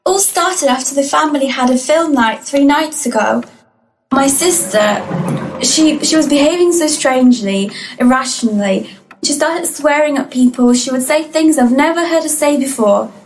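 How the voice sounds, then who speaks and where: A worried young woman in a radio-style play, high voice, hesitant and earnest, bit of background noise. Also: normal speed, poor audio. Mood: anxious, distressed. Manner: hesitant, faltering, anxious. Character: distressed, earnest young woman client, about twenty. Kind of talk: audio drama acting.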